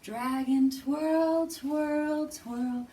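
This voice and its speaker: High-voiced woman reading unhurriedly to small children. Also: extremely slow. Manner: expressive, simple instructions addressed to small children. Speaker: children's librarian and storytime host. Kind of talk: children's storytime read-alouds and action rhymes. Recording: distant microphone.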